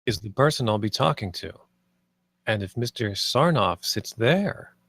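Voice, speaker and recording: A man's voice: fairly low pitch, animated, a little slow, a bit bright, no echo, full character voice. Earnest male actor as idealistic designer, dry sound. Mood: firm. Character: wistful, idealistic male theme-park designer, dejected yet determined. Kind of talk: live screenplay table read.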